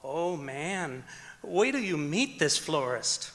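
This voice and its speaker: Actor as comic male pal, highish sharp voice, excitable, emphatic, old-time radio comedy, echoey auditorium. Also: takes it slowly, full character voice. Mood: lovestruck, eager. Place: large auditorium stage, live performance. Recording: echoey auditorium stage recording.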